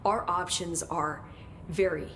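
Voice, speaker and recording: A low, soft-spoken researcher at a secret agency, talks thoughtfully with the odd sigh. Her dialogue comes from a video game cutscene with faint ambience behind it. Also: steady tone, slow pace, gravelly, a little hiss or hum, exaggerated voice. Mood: earnest. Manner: soft, hesitant, thoughtful with sighs. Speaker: game character.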